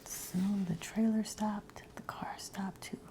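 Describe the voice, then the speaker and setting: A woman reading a children's picture book aloud in a soft whisper, adding her own asides and changing some lines as she goes. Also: medium pitch, steady tone, slow pace.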